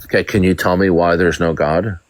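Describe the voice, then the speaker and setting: Low, dry-voiced combative debate host. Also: male voice, fairly even intonation, a little fast, no echo. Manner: domineering, stammers repeated words, mocking. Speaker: live-stream debate host.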